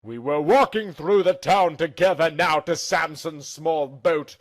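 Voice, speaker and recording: A man's voice: fairly high pitch, a bit bright, no echo, clean studio sound. A man narrating a first-person story in a theatrical, dramatic amateur reading style.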